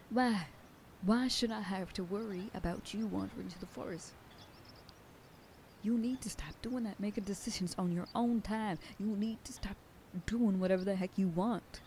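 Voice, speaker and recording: A woman playing a protective werewolf sheriff girlfriend in an ASMR roleplay, scolding the listener and dropping into soft whispers. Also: mid-range voice, moderate pace, pauses often, a little hiss or hum. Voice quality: soft whisper in quieter passages. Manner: scolding, then softening into tender whispers. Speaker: voice actor in an audio roleplay.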